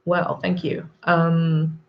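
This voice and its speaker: Husky-voiced woman chatting on a livestream. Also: low-pitched, monotone, extremely slow, dull audio. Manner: chatty, rambling with frequent ums. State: sore throat, husky. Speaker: livestream host. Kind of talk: live chat reading viewer comments.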